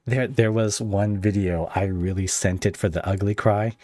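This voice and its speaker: Male podcast host, notably low voice, casual conversational interviewing, dry close recording. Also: steady tone, normal speed, dark tone, no echo.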